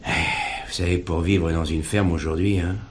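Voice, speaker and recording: An actor playing a plainspoken farmer in a drama, talking in a colloquial, rambling way. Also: male voice, low voice, steady tone, normal speed, a little mellow, a little hiss or hum, close to an everyday voice. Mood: plainspoken, hospitable. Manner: colloquial, rambling, punctuated with verbal tags. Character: weary farmer talking about his estranged brother.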